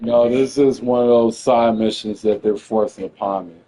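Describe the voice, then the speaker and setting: A man's voice: medium pitch, steady tone, normal speed, warm tone, poor audio. A young man casually chatting and swearing while playing a video game, rambling in a laid-back way. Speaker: gamer playing on stream. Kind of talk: casual chat while gaming.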